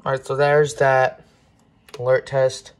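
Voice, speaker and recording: A person talking informally and haltingly in a casual show-and-tell vlog, filmed on a handheld phone indoors at home. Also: mid-range voice, completely flat delivery. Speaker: collector presenting weather radios. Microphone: handheld phone camera. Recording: noisy handheld recording with clicks.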